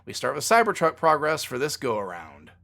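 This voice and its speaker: A man's voice: a little high, huge pitch swings, normal speed, gravelly, a bit bright. A man hosting a podcast, reading fluent, opinionated scripted commentary on company news. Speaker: podcast host.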